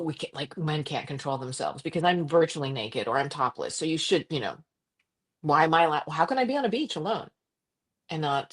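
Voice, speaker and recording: Husky, low-pitched woman from Croatia. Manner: animated, impassioned, jumps to high pitch for quoted voices; frequent fillers and restarts, laughs while talking. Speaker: podcast guest, journalist. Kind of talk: conversational interview.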